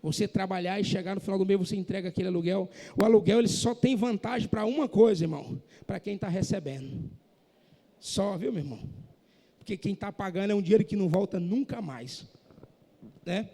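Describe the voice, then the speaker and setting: A man preaching a sermon in a church, impassioned and rising to shouts, from northeastern Brazil. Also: sits high, fairly quick. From Northeast Brazil, Maranhão. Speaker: pastor. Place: church hall. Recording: echoey church hall.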